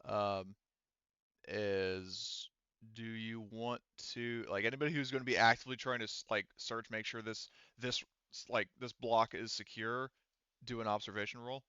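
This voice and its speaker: A man acts as game master, speaking casually in a halting, feeling-his-way manner. His voice is bright and clean, recorded dry on a slightly dull microphone. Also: fairly low pitch, steady tone, clean tone, dry recording. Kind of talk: casual tabletop game narration, directing players.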